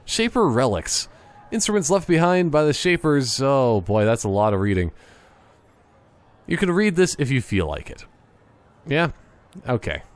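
A man giving casual gameplay commentary in a rambling, joking, halting way. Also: mid-range voice, sing-song. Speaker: gaming commentator.

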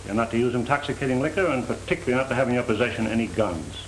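A man's voice: medium pitch, animated, rapid-fire, lightly acted. A middle-aged man playing a stern, by-the-book parole officer in 1930s film style, with a firm, clipped delivery. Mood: brisk, official. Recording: noisy 1930s film soundtrack.

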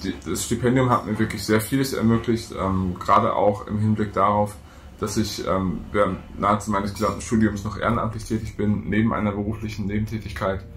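A man of thirty-one, a student introducing himself casually with hesitant pauses. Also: lowish voice, flat delivery, a little hiss or hum. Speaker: psychology student. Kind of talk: casual self-introduction to camera. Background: faint music.

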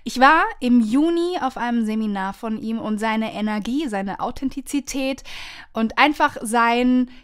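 A woman's voice: on the high side, a little slow, fairly bright tone, clean tone. A woman hosting an interview podcast in a personal, conversational style, with an effusive, gushing manner. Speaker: podcast host.

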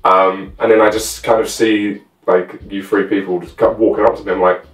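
A man's voice: sits low, level tone, normal speed, distant mic. A man in his twenties chatting casually and telling stories as a guest, recorded in a small room. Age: 20s. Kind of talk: casual chat. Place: small bedroom indoors. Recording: roomy echo, off the mic, faint music.

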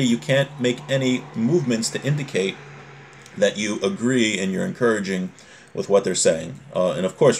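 A man in his forties teaching an informal religious lesson, reading aloud and explaining, often repeating and rephrasing. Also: fairly low pitch. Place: small home room, indoors.

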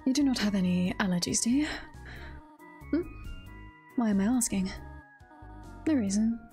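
A woman voicing a gentle, motherly goat-monster caretaker from a game, with polite, careful, formal phrasing. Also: medium pitch, animated, normal speed, lightly acted. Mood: coy, caring. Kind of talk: reading game dialogue in character. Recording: game music behind the voice.

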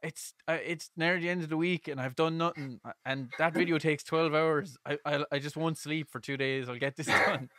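Loud energetic Irish man hosting a podcast. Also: fairly high pitch, moderate pace, few pauses, no room sound, clean studio sound. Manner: loud, energetic, laughing often. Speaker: podcast host. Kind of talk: casual chat. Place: home music room. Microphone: headset and studio mic.

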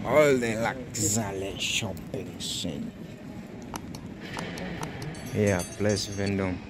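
Slow low-voiced man touring a mall. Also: noisy background. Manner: slow, naming brands one by one. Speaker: vlogger. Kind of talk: walking tour vlog narration. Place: large indoor shopping mall.

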